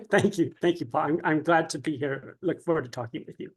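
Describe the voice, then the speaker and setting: A man with a fairly high voice answers as a guest in a casual interview. He is mild-mannered and polite, speaking in a measured, slightly hesitant way. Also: breathy edge.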